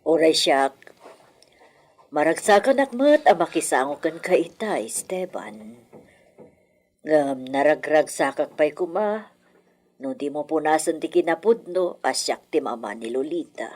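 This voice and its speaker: Husky, low-voiced Filipino grandmother. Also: expressive, a little slow, long pauses, darkish tone. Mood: gracious. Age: older. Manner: wistful, solemn. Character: elderly, dignified hacienda matriarch, wistful grandmother. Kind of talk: Filipino radio comedy-drama acting.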